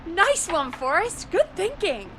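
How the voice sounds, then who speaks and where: An actress voicing a steady, supportive radio producer in a horror video game, earnest and encouraging. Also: female voice, very high voice, takes it slowly, slight background noise, heavily acted. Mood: urgent, supportive.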